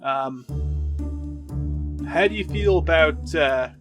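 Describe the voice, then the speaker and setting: A man's voice: a little high, slow. A man playing a tabletop game, moving between casual table talk and in-character roleplay with laid-back phrasing. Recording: faint music behind the voice.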